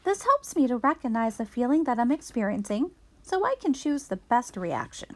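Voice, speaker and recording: A woman reading a children's picture book aloud in an expressive storytelling manner for young children. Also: a little high, moderate pace.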